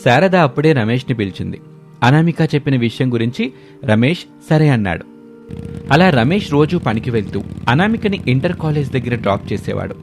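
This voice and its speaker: A man's voice: mid-range voice, lively intonation, brisk, faint music behind. A man narrating a scripted moral family story as a storyteller.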